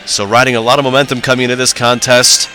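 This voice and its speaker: A man's voice: mid-range voice, little pitch movement, fast talker, pushed and bright. A man announcing a college women's basketball game, welcoming viewers and running through team records with the odd filler. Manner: friendly welcoming, reads stats with occasional uh fillers. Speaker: play-by-play announcer. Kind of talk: live sports broadcast commentary. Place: university gym broadcast.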